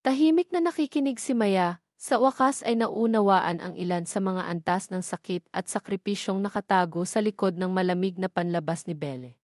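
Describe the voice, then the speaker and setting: A woman reading a scripted dramatic story as narrator, in a measured, formal storytelling delivery. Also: mid-range voice, darkish tone, dry recording, clean studio sound. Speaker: narrator of audio love stories.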